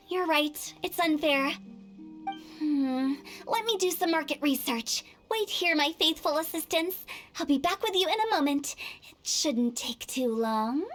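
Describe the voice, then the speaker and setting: A woman's voice: high-pitched, expressive, measured pace. A woman voicing a mischievous young female funeral director in a video game, cheeky and salesy, with spooky asides. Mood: playful. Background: game music.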